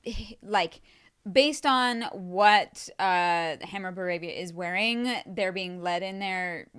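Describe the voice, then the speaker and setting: A woman co-hosting a casual movie spoiler chat, agreeable and quick to laugh, often restarting her sentences. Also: on the low side, a bit bright, clear, resonant tone. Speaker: podcast co-host.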